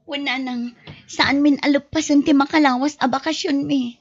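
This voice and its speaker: A weary young wife acted by a woman in a radio drama, with a very high, plaintive voice. She sounds fed up, on a dry, slightly dull recording. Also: steady tone, normal speed, dry recording. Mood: dismayed, weary. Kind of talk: Ilocano radio drama acting. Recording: muffled dry close recording.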